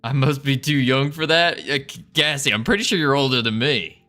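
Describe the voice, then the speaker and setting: A man's voice: medium pitch, moderate pace, sharp tone, soft background music. A young man chatting casually as a livestreamer, putting on an American Southern accent with playful, folksy cowboy talk. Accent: put-on American Southern. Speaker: live streamer chatting with viewers.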